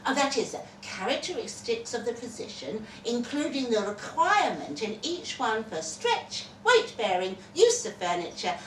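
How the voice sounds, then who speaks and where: A woman reading a literary short story to a live audience in a theatrical, wry and deliberate way. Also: on the high side, very animated, a bit bright. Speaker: reader performing a short story at a festival. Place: live festival reading in a roomy indoor space. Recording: roomy live event recording, distant mic, faint noise.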